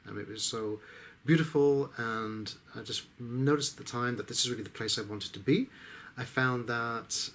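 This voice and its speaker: A middle-aged man from the of England, a business coach, telling his life story in a reflective way with frequent pauses and hesitations. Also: mid-range voice, fairly bright tone. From England, Exeter. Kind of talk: personal life story told to camera.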